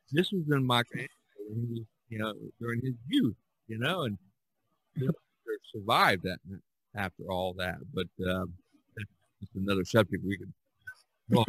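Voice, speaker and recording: A man's voice: lowish voice, bright, forceful tone, no echo, rough recording. A man hosting a casual podcast discussion, rambling and often searching for his words. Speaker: podcast host. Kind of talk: casual discussion of art history.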